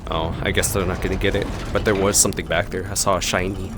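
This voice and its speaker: A man's voice: sits low, flat delivery, fast, noisy background. A man giving casual, playful commentary as a streamer while playing a video game, often talking to the game. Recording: game music behind voice.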